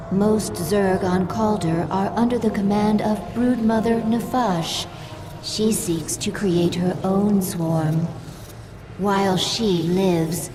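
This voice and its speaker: A woman's voice: medium pitch, far from the mic, background noise, close to an everyday voice. A woman playing an obedient female alien brood servant in a video game, formal and reverent. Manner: slow, formal, subservient. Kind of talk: video game cinematic acting. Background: music.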